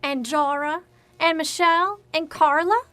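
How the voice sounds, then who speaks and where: A woman's voice: high-pitched, unhurried, bright, forceful tone, dry recording. A woman playing an upset, accusing mother fed up with her daughter, tense and reproachful, in a stage drama. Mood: confronting, tense.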